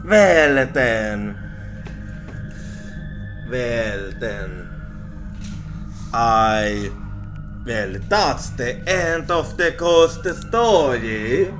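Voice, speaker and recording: A man telling a ghost story in an ASMR roleplay style, halting and hesitant, often repeating himself. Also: medium pitch, animated, a bit bright. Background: music.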